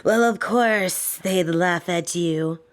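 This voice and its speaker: A woman playing a soft-spoken, domineering lamia caretaker in a roleplay audio, teasing and coaxing with a condescending, softly menacing edge. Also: on the low side, takes it slowly. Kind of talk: scripted roleplay audio, intimate second-person address.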